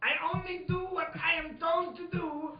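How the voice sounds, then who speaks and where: A man's voice: very high-pitched, normal speed, recorded from a distance, soft background music, pitched above the performer's own voice. A man voicing an indignant French sailor with an exaggerated French accent, in a mock old-time radio play. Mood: indignant, pleading. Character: excitable French sailor, protesting his innocence. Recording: echoey live room, dull sound, audience noise.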